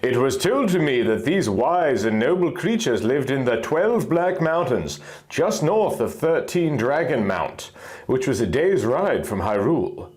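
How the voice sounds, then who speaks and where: Animated man narrating a dramatic fantasy story. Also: medium pitch, unhurried. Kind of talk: dramatic scripted fan-fiction narration.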